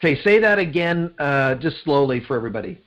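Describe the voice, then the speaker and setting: A man's voice: fairly high pitch, animated, quick pace, fairly bright tone, highs cut off. A man with a Canadian accent, speaking conversationally as a podcast host and interviewer.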